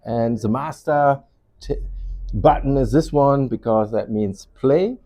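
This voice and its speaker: A man's voice: medium pitch, mellow. Man presenting with a German accent. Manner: non-native English with halting, searching phrasing. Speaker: company representative presenting a product. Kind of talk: informal product demonstration.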